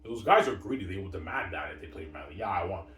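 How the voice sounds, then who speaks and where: A man's voice: low-pitched, expressive, rapid-fire, a bit bright. A man rambling casually, repeating himself in a boastful way, with emphatic bursts that trail off. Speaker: vlogger. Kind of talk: rambling casual hockey talk. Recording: roomy, distant mic, faint noise.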